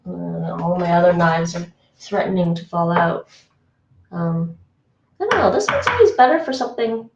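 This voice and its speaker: A woman's voice: lowish voice, expressive, moderate pace, recorded from a distance, dull audio, noisy background. A woman from Toronto, Canada, chatting casually with her stream chat while cooking in a home kitchen, laughing often. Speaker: livestreamer. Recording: echoey room, voice off-mic.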